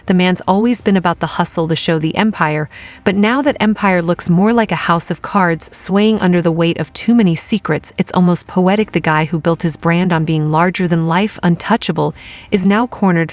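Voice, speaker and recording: A woman's voice: fairly low pitch, no echo. A woman delivering scripted gossip narration in a dramatic, conversational way.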